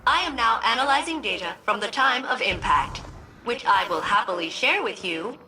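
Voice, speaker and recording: A woman's voice: fairly high pitch, lively intonation, moderate pace, pushed and bright, rough recording. A woman voicing a cheerful, quirky female artificial intelligence in a video game, bubbly yet literal and precise in her wording. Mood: bright, pleased. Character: cheerful, quirky female ship AI, eager and sincere. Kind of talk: video game cutscene acting.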